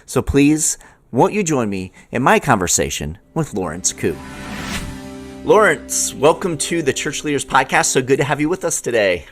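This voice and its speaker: A man's voice: medium pitch, sing-song, normal speed, over music. A man hosting an interview podcast, presenting introductions and putting questions to a guest. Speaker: podcast host. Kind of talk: scripted introduction, then interview.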